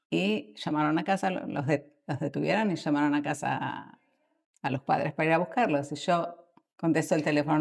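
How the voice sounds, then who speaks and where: A middle-aged Argentine woman speaks in a low register with a gravelly fry. She talks as an expert guest in conversation, choosing her words thoughtfully and pausing partway through sentences. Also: darkish tone. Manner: thoughtful, halting, with mid-sentence pauses. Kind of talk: conversational podcast interview answers.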